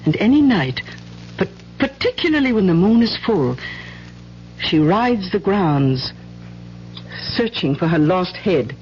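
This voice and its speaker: Low-voiced, chatty ghost-legend guide. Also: female voice, very animated, takes it slowly, pauses often, a little hiss or hum. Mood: gossipy, relishing. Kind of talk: 1970s radio drama acting. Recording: dull old radio recording.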